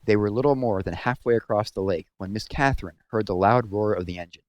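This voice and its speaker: A man narrating a story aloud in a measured, serious storytelling manner. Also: on the low side, low-quality recording.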